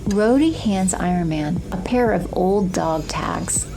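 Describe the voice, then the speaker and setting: A woman's voice: on the low side, expressive, takes it slowly, low-quality recording. Woman narrating over music. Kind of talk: scripted audio drama narration. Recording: music bed behind the voice.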